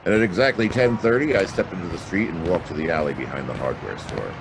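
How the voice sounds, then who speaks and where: An actor playing a wisecracking, flirtatious private detective in a hard-boiled audio drama, wry in both narration and dialogue. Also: male voice, deep voice, lively intonation, quick pace, gravelly, very noisy. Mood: tense narrating. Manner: wry, drawling asides with flirtatious endearments.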